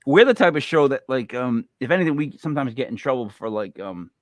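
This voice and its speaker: A man's voice: mid-range voice, quick pace, no echo. A man hosting a podcast, chatting casually and telling rambling stories with frequent laughs. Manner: laughing, rambling, animated storyteller. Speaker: podcast host. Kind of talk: casual chat.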